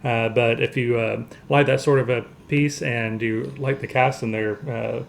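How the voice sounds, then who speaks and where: A man's voice: mid-range voice, steady tone, a little slow, gravelly, slight background noise. A man co-hosting a podcast, chatting casually through a round-up of entertainment news. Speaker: podcast co-host. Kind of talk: casual box office round-up chat.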